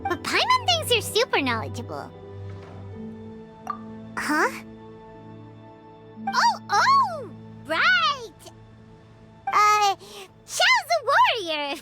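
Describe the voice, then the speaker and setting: A woman voicing a tiny childlike fairy companion in a video game, squeaky, babyish and earnest. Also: huge pitch swings, very slow, long pauses, heavily acted. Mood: flustered, earnest. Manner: speaks of self in third person, babyish. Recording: loud music under the voice.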